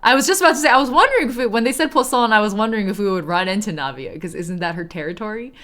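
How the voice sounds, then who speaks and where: A woman streaming a game and chatting casually and playfully, thinking out loud in a soothing voice. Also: medium pitch, lively intonation, rapid-fire. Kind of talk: casual live chat while playing a story game.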